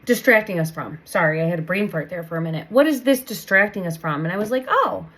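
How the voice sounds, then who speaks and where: A woman's voice: fairly low pitch, animated, quick pace, fairly bright tone. Tarot host, woman, a little stuffy from a cold, chatty, repeats herself. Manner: chatty, meandering, repeats phrases. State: recovering from a cold. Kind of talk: casual tarot reading monologue.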